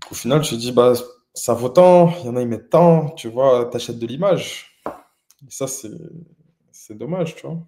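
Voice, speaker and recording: Man, young adult, mellow voice, hesitant, lots of fillers and restarts, casual interview talk. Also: medium pitch, normal speed. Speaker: interviewed entrepreneur.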